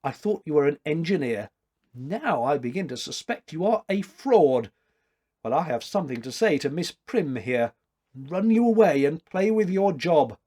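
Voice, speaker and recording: A man's voice: a little high, animated, moderate pace, mellow, pitched above the performer's own voice. A man voicing a stately older woman in a storybook, blunt and teasing, with formal, arch wit. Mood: blunt, brisk.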